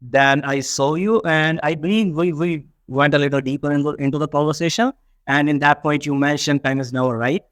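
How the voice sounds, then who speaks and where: A man hosting a podcast interview, speaking earnestly in careful, slightly halting phrases. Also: a little high, steady tone, fairly bright tone, no room sound, studio quality. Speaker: podcast host.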